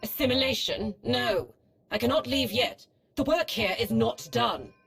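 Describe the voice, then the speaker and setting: A woman's voice: on the high side, unhurried, vocal fry, fairly bright tone, slightly rough, faint music behind, heavily acted. A woman playing a cold, ruthless female Sith research hologram in a video game, speaking in a formal, detached, clinical manner. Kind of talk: scripted video game acting.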